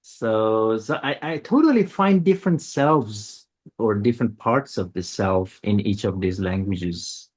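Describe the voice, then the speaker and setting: Iranian man, halting and searching. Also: mid-range voice, a little mellow, husky. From Iran. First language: Persian. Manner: searching for words, halting restarts. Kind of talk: reflective conversation.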